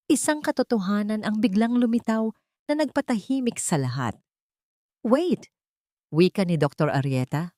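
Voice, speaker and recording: A woman with a lowish voice reads a dramatic story with earnest emotion. The recording is dry and close, with no room sound. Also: darkish tone, no room sound. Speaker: narrator.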